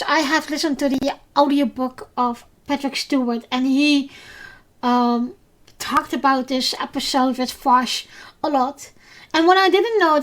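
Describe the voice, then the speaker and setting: A Dutch woman hosts a solo podcast recapping episodes, speaking English as a second language. Her voice sits high, and she talks slowly. She hesitates often, filling pauses and restarting her sentences. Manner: hesitant, many fillers and restarts. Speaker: podcast host.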